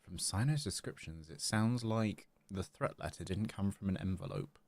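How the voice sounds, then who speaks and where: Low-voiced, playful man streaming a game. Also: normal speed, creaky, darkish tone. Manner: playful, chatty. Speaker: game livestreamer. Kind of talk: casual live gameplay commentary, reading dialogue aloud.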